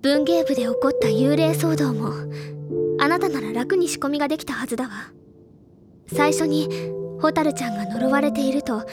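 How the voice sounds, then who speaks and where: Woman doing high girlish bookish detective schoolgirl, earnest n insistent, audio drama, loud music under it. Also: lightly acted. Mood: accusing, probing. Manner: feminine phrasing, deliberate explanatory delivery. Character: bookish teenage schoolgirl sleuth, earnest, insistent, easily flustered. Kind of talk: Japanese audio drama acting, accusatory monologue.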